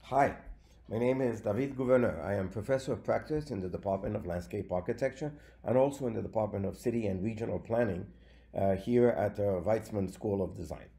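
A man, giving a recorded slide lecture in a measured way with frequent fillers. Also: fairly low pitch, creaky, dark tone. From Venezuela.

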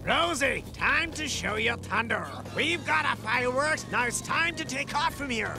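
A man's voice: extremely high pitch, lively intonation, moderate pace, creaky, exaggerated voice. An actor voicing a boastful, bossy cartoon crook who calls himself king of crime, with theatrical delivery. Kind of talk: exaggerated cartoon dub acting. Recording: loud music under the voice.

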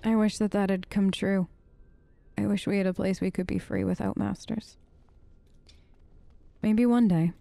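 A woman's voice: medium pitch, fairly even intonation, unhurried, vocal fry, long pauses, dark tone, no echo. A woman doing casual role-play gaming commentary as a let's play host, with a hesitant, wry manner.

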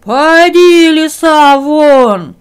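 A woman's voice: sits high, lively intonation, very slow, pitched above the performer's own voice, exaggerated voice. A woman voicing a big, helpful male bull in a ponderous, mooing storybook voice. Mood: roaring.

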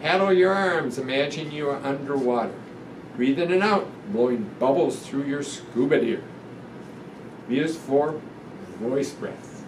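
An elderly man reads a picture book to children with gentle, deliberate instruction. His voice sits slightly high for a man. He is picked up from a distance in a roomy space, with a clear ventilation hum behind him. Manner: gentle, deliberate, instructive, pausing between phrases. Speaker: guest doctor reading a picture book aloud. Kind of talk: children's storytime read-aloud with guided breathing instructions. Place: indoor room in front of a sequined curtain.

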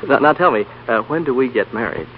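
An actor plays an idealistic, excitable young man in a 1930s radio romance. He talks quickly and earnestly. The broadcast is muffled, with faint hiss. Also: medium pitch, natural voice. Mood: puzzled, protesting. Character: eager, boyish young banker in love, idealistic.

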